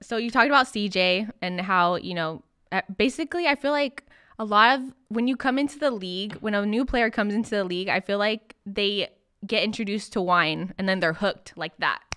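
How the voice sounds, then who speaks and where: Clear-voiced woman, casual interviewer. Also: medium pitch, normal speed, a bit bright. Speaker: interviewer, host.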